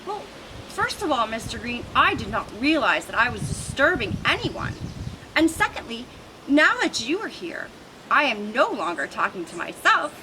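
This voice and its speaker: A woman voicing a grumpy, fussy male lizard who scolds in a haughty, drawn-out way. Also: a little high, very animated, noisy background. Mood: scolding.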